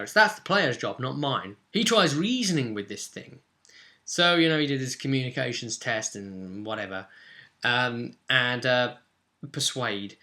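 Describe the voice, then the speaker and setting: British guy, game master chatting through a tabletop session, really bright forceful voice, informal and animated. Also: medium pitch. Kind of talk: casual tabletop game recap storytelling.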